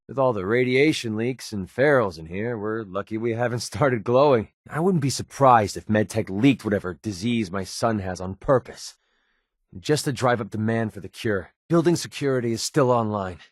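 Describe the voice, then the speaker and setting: An actor playing a wry, cocky young mercenary in a video game, with a sardonic, casual, colloquial delivery. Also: male voice, on the low side, normal speed, no echo, clean studio sound, close to an everyday voice. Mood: wary, wry. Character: wry young male mercenary, cocky, wary, protective father. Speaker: video game companion character. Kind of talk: video game voice acting, casual banter lines.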